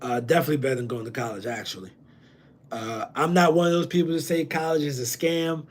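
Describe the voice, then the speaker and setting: Casual slangy man, slightly high voice. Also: fairly even intonation, fast talker. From New Jersey. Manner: loose, slangy, repeats himself with many ums. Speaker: real estate investor hosting a livestream. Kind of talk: casual livestream Q&A.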